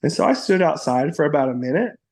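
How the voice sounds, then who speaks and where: This is a man with a gravelly, raspy edge and a slightly high voice, talking as an excited podcast guest. He is playful and quick to laugh, and he keeps slipping into a theatrical, mock-formal wizard persona between casual filler-heavy chat. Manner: upbeat and playful, many "like" fillers, laughs often.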